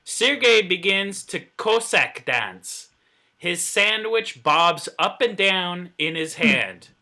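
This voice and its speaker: A man reads screenplay narration in a very bright, forceful voice, pitched a little high. He sounds like he is at an informal read-through, stumbling now and then. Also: expressive, slow pace. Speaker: table reader of script narration and dialogue. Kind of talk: scripted narration read aloud.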